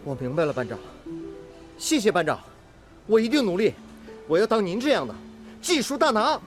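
An earnest young technician in a radio drama. His delivery swings widely in pitch, eager and a little shy. Music plays faintly behind him. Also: sing-song, moderate pace. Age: young adult. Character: earnest, devoted young male steel-mill technician. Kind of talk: Chinese radio drama acting.

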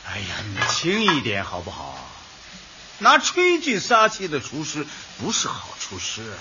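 An actor playing a pedantic, sarcastic older husband who preaches in drawn-out sayings in a radio comedy. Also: male voice, on the high side, wildly swooping pitch, noisy background. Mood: lecturing. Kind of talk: radio drama acting, light comedy. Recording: dull audio with music bed.

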